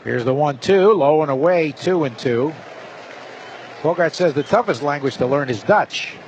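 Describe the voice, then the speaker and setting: A man works as a baseball play-by-play announcer, calling the game live. His voice sits a little high. Some outdoor ballpark noise runs faintly behind him. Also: lively intonation, moderate pace, low-quality recording. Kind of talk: live sports commentary. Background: outdoor stadium ambience.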